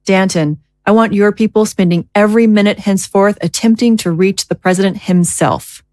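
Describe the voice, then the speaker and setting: An actress playing a commanding government leader in an audio drama, speaking with formal, clipped authority. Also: female voice, mid-range voice, normal speed, a little mellow, no echo, studio quality, lightly acted.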